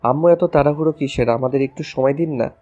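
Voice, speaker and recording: Audio romance role: a man as a tender, mischievous young husband, mid-pitched voice with music behind. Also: fast talker, mellow, lo-fi sound, natural voice. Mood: teasing. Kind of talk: romantic audio story acting.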